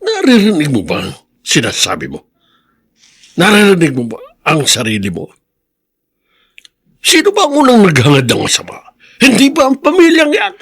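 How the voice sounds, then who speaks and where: An actor playing a bitter, scornful old Filipino grandfather with a raspy, strained voice and explosive temper, in melodramatic radio drama style. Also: high voice, huge pitch swings, normal speed, long pauses, fairly bright tone, heavily acted. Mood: bitter, accusing. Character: bitter, vengeful old grandfather, quick to rage.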